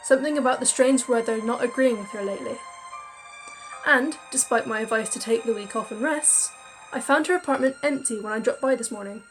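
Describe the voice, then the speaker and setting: A woman reading a visual novel aloud with expressive storytelling and chatty asides. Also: a little high, a little fast, faint music behind, low-quality recording. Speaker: game narrator.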